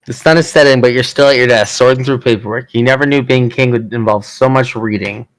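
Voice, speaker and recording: A man's voice: medium pitch, a little fast, low-quality recording. A man reading scripted fantasy story narration aloud as a player in a party game.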